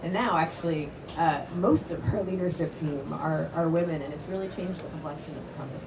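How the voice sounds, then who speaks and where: A woman's voice: low-pitched, fairly quick. A woman moderating a panel discussion before an audience, talking energetically with many restarts. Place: conference stage before an audience. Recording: distant mic, noisy event.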